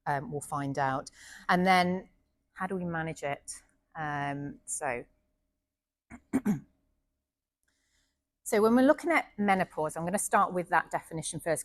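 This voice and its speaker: British woman, doctor presenting, chatty and warm-toned, lowish voice, dry close sound. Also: dry recording. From United Kingdom. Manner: friendly, chatty, frequent ums. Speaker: doctor giving a health talk. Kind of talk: informal presentation to an audience. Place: indoor venue with an audience.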